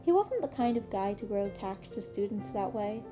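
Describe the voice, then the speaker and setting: A woman's voice: medium pitch, very animated, moderate pace, music in the background. A woman reading a story aloud as scripted narration. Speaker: podfic narrator reading fan fiction aloud.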